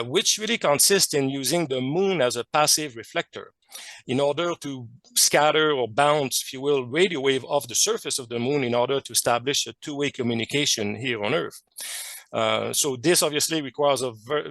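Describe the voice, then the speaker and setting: A man's voice: medium pitch, fairly bright tone, no room sound. A man from Quebec with a French Canadian accent, French as his first language, giving a technical presentation over a video call with many fillers and restarts.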